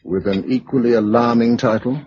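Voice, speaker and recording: A man's voice: medium pitch, fairly even intonation, normal speed, a little mellow, breathy edge, lightly acted. A man acting in a British radio drama as a practical, level-headed survivor, speaking in a clipped, matter-of-fact way with a British accent. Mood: polite, measured. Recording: dull lo-fi radio recording, faint noise.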